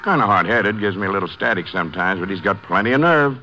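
An actor playing a folksy, loyal small-town sheriff with colloquial western talk in a 1950s radio drama. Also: male voice, on the low side, fast, pushed and bright, muffled recording. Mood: easygoing. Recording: old radio recording.

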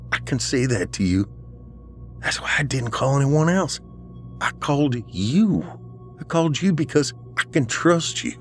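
Man acting a haunted, pleading man on edge, pitched up. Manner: intense, pleading, insistent. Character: distraught, paranoid man insisting he hears something. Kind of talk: audiobook character dialogue, horror story.

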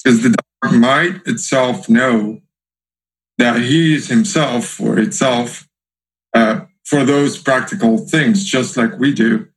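Halting man. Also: mid-range voice, rough recording. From Brazil. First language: Portuguese. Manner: thoughtful, halting, repeats words while searching. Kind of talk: casual group discussion.

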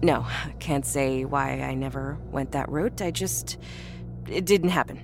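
A woman voicing a weary nurse who talks softly and steadily, with small hesitations. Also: very deep voice, measured pace, music in the background, pitched below the performer's own voice. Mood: uneasy. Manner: soft, steady, reassuring, hesitant. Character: weary woman nurse, calm but shaken.

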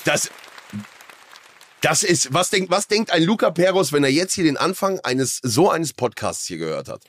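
A man's voice: a little high, dry recording, a faint crowd. A man hosts a podcast with a bouncy, sing-song voice that climbs and dips a lot and sits a little above the usual male range. He jokes and announces theatrically. The studio sound is close and dry. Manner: excitable, theatrical, quick to joke. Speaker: podcast host. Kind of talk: playful podcast interview.

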